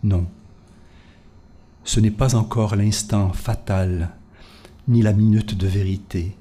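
A man reads his poetry aloud in a slow, unhurried cadence. His voice sits low and mellow, and the delivery stays measured and solemn, sinking to near whispers at times. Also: fairly even intonation. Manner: measured, solemn, hushed in places. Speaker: poet reading his work.

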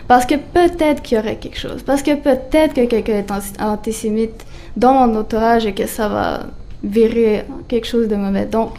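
Young woman, mellow, casual. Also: a little high, a little hiss or hum. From Canada. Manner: quick, informal, conversational. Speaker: guest author. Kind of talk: casual discussion.

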